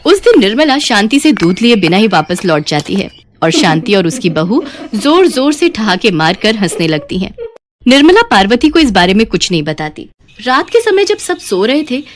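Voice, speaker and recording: A woman's voice: a little high, sing-song, moderate pace, a little hiss or hum. A woman telling a moral cartoon story as a scripted narrator.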